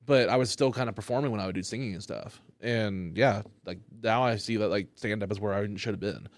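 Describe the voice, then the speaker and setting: Rambling, cursing comedian, dry close sound. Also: male voice, lowish voice, brisk, no echo. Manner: rambling, loose, curses often. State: high. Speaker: stand-up comedian. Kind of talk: casual podcast chat.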